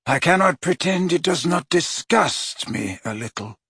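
Calm elderly headmaster wizard, measured and gentle, read by a man. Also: fairly high pitch, creaky, breathy edge, no echo, clean studio sound, pitched above the performer's own voice, full character voice. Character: old male wizard headmaster, calm and weary.

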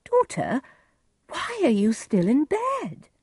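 A firm, commanding noble mother of middle age acted by a woman narrator in a storybook. She speaks insistently in a raised, animated pitch, recorded dry. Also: takes it slowly, no room sound, heavily acted. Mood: stern. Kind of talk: dramatized storybook reading.

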